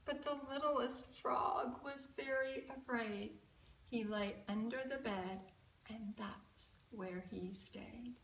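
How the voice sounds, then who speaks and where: A woman storyteller reading a picture book aloud to children, expressive and deliberate, often putting questions to her listeners. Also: fairly high pitch, background noise. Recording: roomy echo, distant mic.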